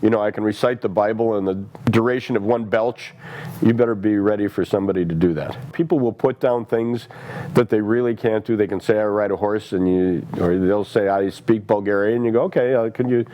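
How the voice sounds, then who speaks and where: A man with a lowish, mellow voice speaks in a documentary interview. He is wry and animated, acting out small examples as he goes. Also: fairly quick, slight background noise.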